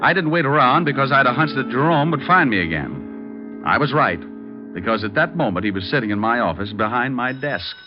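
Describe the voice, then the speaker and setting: An American actor playing a wry, unflappable private detective in an old-time radio drama, with a dry, wisecracking manner. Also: male voice, medium pitch, lively intonation, brisk, dull audio, over music, natural voice. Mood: dry narration. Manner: dry, wisecracking, laid-back.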